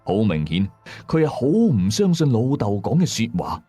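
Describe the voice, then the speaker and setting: A male storyteller with a Hong Kong accent and a low voice. His delivery is dramatic and very animated, building suspense in everyday colloquial wording. Music plays under the narration. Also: moderate pace, slightly rough, no echo.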